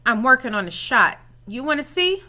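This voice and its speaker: A woman voicing an eager fourth-grade boy in a children's storybook, with earnest, expressive delivery. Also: sits high, normal speed, full character voice.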